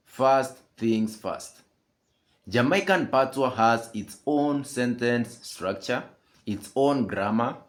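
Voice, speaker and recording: A man hosts a scripted commentary in a measured, explanatory tone. He speaks slowly, at a middle pitch. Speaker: channel host.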